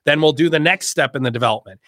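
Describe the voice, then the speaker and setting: Emphatic man host, bright dry voice. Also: on the high side, no room sound. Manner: emphatic, rapid explaining with many fillers. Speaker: host and workshop leader. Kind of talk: casual discussion.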